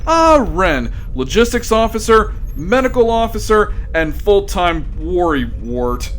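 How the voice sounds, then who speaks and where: A man's voice: sits high, big pitch swings, unhurried, a little hiss or hum. A man narrating a scripted sci-fi comedy in a theatrical, wry manner.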